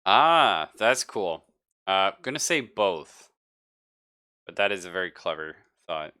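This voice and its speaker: A man narrating a horror tabletop game as game master, improvising in a hesitant, halting way. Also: sits low, very animated, sharp tone, clean tone.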